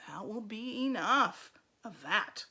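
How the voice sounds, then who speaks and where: A woman voicing a kindly, prim female schoolteacher in a storybook, gently correcting in a measured way. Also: mid-range voice, animated, husky, pitched below the performer's own voice, exaggerated voice. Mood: patient, coaxing.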